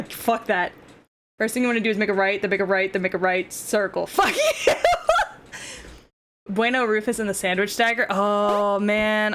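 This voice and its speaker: A young woman with a deep, smoky voice, chatting and cursing casually while streaming a game. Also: fairly bright tone, slight background noise. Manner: crude, swears, laughs, sings along. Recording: faint music behind.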